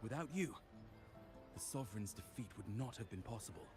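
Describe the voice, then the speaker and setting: Male actor as a gracious male ceremonial official, formal game dialogue, reassuring manner, clear music bed. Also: medium pitch, lively intonation, brisk, close to an everyday voice. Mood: solemn, admiring. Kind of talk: scripted game character dialogue.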